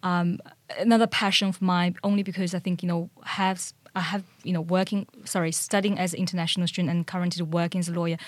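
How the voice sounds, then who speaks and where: Mandarin-native woman lawyer, 30s, fairly low voice, dry close sound, earnest guest on a podcast. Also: flat delivery, fast, few pauses, no room sound. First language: Mandarin Chinese. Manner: earnest, thoughtful, many fillers. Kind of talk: podcast interview, casual advice.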